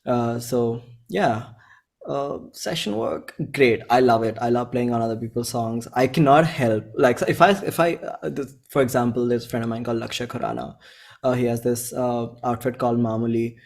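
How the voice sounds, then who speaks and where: A man's voice: mid-range voice, normal speed, gravelly. A man in his twenties with an Indian accent, chatting informally as an interview guest, circling back and repeating phrases as he explains. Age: 20s. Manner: informal, rambling, repeats phrases, acts out bits of dialogue. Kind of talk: casual conversational interview.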